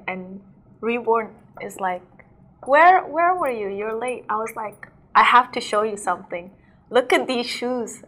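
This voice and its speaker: A woman's voice: medium pitch, a bit bright. Chatty young woman from South Sudan. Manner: chatty, laughs often, lots of "like". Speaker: DJ and model. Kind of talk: casual interview talk.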